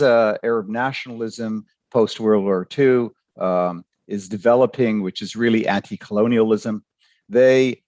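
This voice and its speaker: An older man from Canada, a professor answering interview questions by telling stories, with halting, repeated words. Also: medium pitch, a little mellow, no room sound.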